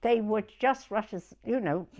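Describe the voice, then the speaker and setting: A woman's voice: medium pitch, measured pace, darkish tone. A woman in her nineties with a southern English accent, reminiscing hesitantly in an interview, often repeating herself and trailing off. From Weymouth, Dorset, England. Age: 90s. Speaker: oral history interviewee.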